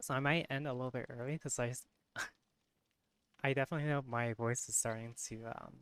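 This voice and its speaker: A live streamer with a tired, hoarse voice, chatting casually with viewers, giggling often and correcting themself mid-sentence. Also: mid-range voice, steady tone, brisk, noisy background. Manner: giggly, laughs often between remarks; rambling, self-correcting. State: voice worn and hoarse from long streaming.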